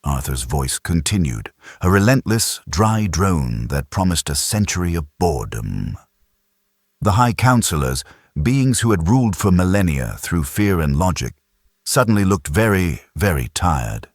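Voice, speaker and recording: A man with an extremely low voice narrates a sci-fi audiobook. The delivery is measured and dramatic, recorded close and dry with no room sound. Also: sing-song, no room sound.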